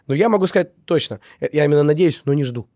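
A man's voice: on the high side, animated, quick pace, warm tone, dry recording, clean studio sound. A man getting over a cold, talking earnestly in a casual interview and repeating key words with many fillers. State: recovering from a cold. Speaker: business owner, guest.

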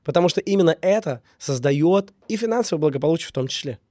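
Guy getting over a cold, talks fast and earnest, mellow dark tone, dry sound. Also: a little high, expressive, no echo. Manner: earnest, repeats words for emphasis. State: recovering from a cold. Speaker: business owner. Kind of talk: casual podcast conversation.

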